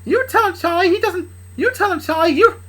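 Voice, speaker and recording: A woman voicing an excitable male friend who eagerly cheers someone on. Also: very high-pitched, brisk, voice pushed up. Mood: cheering.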